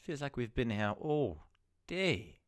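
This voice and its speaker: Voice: mid-range voice, very animated, slow, no room sound, studio quality. A performer playing a pompous male casting director in a comedy sketch, impatient and prone to stammering. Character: exasperated male casting director for a baby-food ad.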